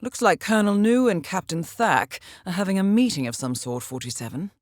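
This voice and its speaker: An actress playing a composed intelligence handler who briefs an assassin in a measured, formal, understated way for a video game. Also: female voice, mid-range voice, lively intonation, dry recording, studio quality, natural voice. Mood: cool, efficient. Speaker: mission handler. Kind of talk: scripted video game voice acting, mission briefing.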